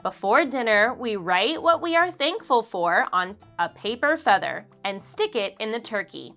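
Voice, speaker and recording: Woman playing an earnest, bright-voiced schoolboy. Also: fairly high pitch, very animated, measured pace, soft background music, heavily acted. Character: earnest schoolboy explaining himself in a storybook.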